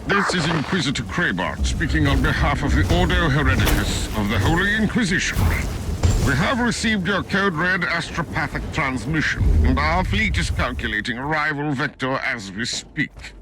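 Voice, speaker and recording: Actor as imperious male inquisitor, highish pitch, formal military announcement, clipped, video game, noisy background. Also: lively intonation, normal speed, lightly acted. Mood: official, commanding. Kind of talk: dramatic video game acting.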